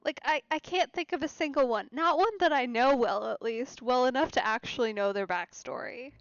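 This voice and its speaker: A woman's voice: high-pitched, moderate pace, dry recording, dull audio. A woman streaming a game, reacting casually and musing aloud in a hesitant, trailing way. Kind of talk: casual let's play reactions. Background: soft game music.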